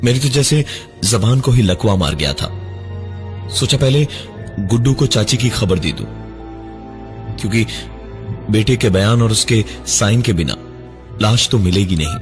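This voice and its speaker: Deep-voiced man narrating horror. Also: lively intonation, faint music behind. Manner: dramatic, halting delivery with suspenseful breaks and repeated words. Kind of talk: dramatic first-person scripted horror narration.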